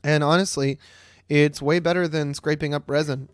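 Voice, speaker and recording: A man's voice: a little high, fairly even intonation, moderate pace, smooth, no echo. A man in his late twenties rambles while streaming a game as host, swearing freely and poking fun at himself. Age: late 20s. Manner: rambling, profane and self-mocking. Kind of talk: casual gameplay commentary.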